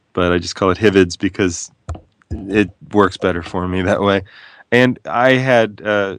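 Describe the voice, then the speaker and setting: A man's voice: lowish voice, dry recording. A man who is an author, talking as a guest in a casual radio interview, playful and wry, wandering off on tangents.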